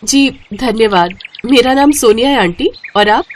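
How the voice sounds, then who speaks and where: A woman voicing a sweet, eager young bride in a story, with an expressive, animated delivery. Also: fairly high pitch, measured pace, a little hiss or hum, pitched above the performer's own voice, lightly acted. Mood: curious, polite.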